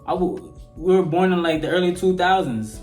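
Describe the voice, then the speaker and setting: A man's voice: high-pitched, lively intonation, moderate pace, darkish tone, faint music behind. An eighteen-year-old man from Sudan with an American accent, ranting to camera in a rambling, conversational way and often asking whether you get what he is saying. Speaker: vlogger. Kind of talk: opinion rant to camera. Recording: roomy echo.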